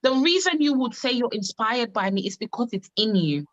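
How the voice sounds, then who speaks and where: A woman from Uganda, giving an emphatic, repetitive motivational pitch on a live call. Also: animated, moderate pace, fairly bright tone, lo-fi sound. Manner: emphatic, repetitive, testimony-driven. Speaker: wellness business coach on a live call.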